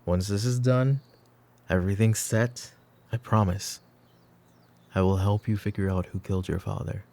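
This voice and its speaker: A man playing a weary middle-aged mafia boss in a roleplay audio drama, stern but protective, speaking in a measured, commanding way. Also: steady tone, mellow, natural voice. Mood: firm, businesslike. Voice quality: low, gravelly.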